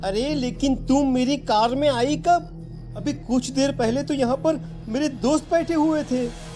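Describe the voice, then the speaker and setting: A woman's voice: sits high, a little slow, over music. A woman voicing a startled, pleading young man in a cartoon horror story. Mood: startled, puzzled. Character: frightened young man out partying, cornered by a ghost.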